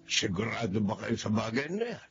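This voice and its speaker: A man's voice: fairly low pitch, sing-song, normal speed, fairly bright tone, breathy edge, muffled recording, soft background music, heavily acted. An actor playing a blunt old father who doubts his son's much older girlfriend, in a radio drama. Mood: disapproving. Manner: blunt, skeptical, polite-formal. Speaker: father of the young man.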